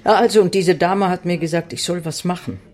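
An actress playing a lost, retired woman in an improvised radio drama, speaking in halting, deadpan, laconic replies. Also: on the low side, close to an everyday voice. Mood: flat, forlorn. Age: older.

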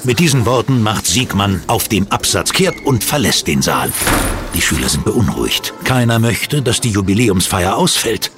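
A man narrating a scripted audio drama with expressive storytelling. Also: on the low side, moderate pace, over music.

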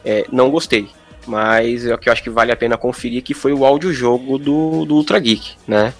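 Brazilian man with a mid-pitched, casual voice. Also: fairly even intonation, a little fast, soft background music. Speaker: podcast panelist. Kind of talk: casual podcast chat.

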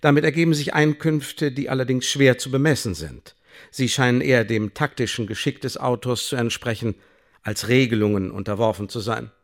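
An actor playing a coolly bureaucratic secret service officer in a radio play, speaking in measured, formal officialese. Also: male voice, medium pitch, close to an everyday voice. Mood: dry, official. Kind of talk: radio drama, dictated official report.

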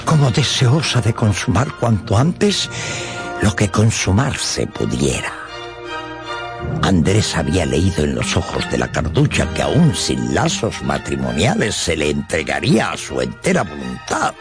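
A male radio-drama narrator with a lowish, creaky voice. He tells the story theatrically, his pitch swooping dramatically, and music runs underneath. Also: wildly swooping pitch, measured pace. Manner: theatrical, conversational asides to listeners.

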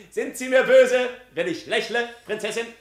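An actor playing a bold, witty foreign prince in 1950s radio drama style, courtly and teasing as he spins his tales with irony. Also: extremely high pitch. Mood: bold, provoking. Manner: courtly, teasing, ironic, unhurried storytelling. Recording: roomy 1950s radio studio recording.

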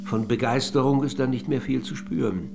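An actor playing a polite but probing middle-aged interviewer in a radio play, with measured, insistent questioning. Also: male voice, medium pitch, creaky, music in the background, lightly acted. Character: courteous but probing male interviewer of an old lady.

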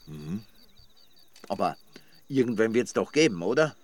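An actor playing a wry, teasing village friend in a radio drama, speaking conversationally. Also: male voice, fairly low pitch, sing-song, a little slow, darkish tone. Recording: clear outdoor night insect noise. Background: insects and night ambience.

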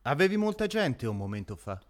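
A man acting in an absurdist radio play as a vague, plodding newspaper seller, halting, repetitive and deadpan. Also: a little high, heavy background noise. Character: plodding, vague male newspaper seller making small talk. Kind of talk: absurdist radio drama dialogue.

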